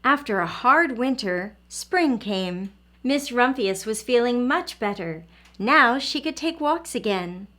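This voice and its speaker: A woman's voice: a little high, expressive, slow pace, fairly bright tone. A woman reading a children's picture book aloud as a teacher, expressively and addressing young listeners directly.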